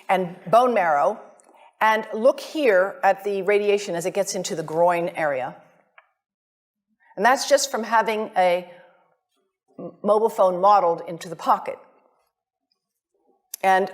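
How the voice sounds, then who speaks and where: An American woman giving an academic presentation as a visiting scientist, speaking in a deliberate, emphatic way straight to her listeners. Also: lowish voice. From United States.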